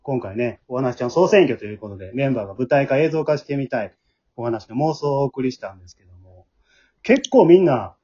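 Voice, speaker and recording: Male host, Kansai accent, husky, very animated intonation, casual chat, chuckly, dull lo-fi audio. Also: medium pitch, fairly quick. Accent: Kansai Japanese. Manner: chuckles often while talking. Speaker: host of an amateur radio drama group's talk show. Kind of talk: casual moderated group chat.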